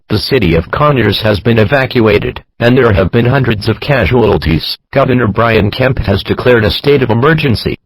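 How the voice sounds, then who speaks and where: A man delivers a scripted emergency alert in a formal, machine-like voice. The tone is flat and official, with a slightly raspy grain. The sound is very dry, with no room around it. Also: fairly low pitch, no echo, low-quality recording. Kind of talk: scripted emergency broadcast announcement.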